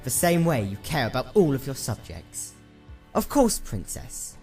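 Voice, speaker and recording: A man's voice: medium pitch, wildly swooping pitch, measured pace, creaky, a little mellow, faint music behind, full character voice. A man voicing a haunted, guarded young female pony in a storybook, with an earnest and hesitant manner.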